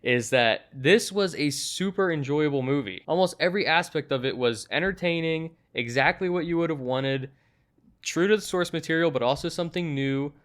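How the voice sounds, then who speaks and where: A man's voice: a little high, normal speed. A young man giving a casual, conversational movie review, talking in loose, chatty asides. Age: young adult.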